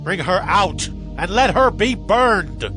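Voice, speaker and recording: A stern patriarch, music behind. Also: male voice, sits high, big pitch swings, slow pace, exaggerated voice. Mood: angry. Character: Judah, stern male patriarch of ancient Canaan. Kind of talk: dramatized scripture audio acting.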